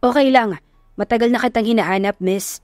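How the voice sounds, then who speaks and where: A polite young gentleman, voiced by a woman narrator, speaks with earnest, smitten courtesy. It is a romantic lead in an audio love story, recorded close and dry. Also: on the high side, brisk, dry recording, faint music behind, close to an everyday voice.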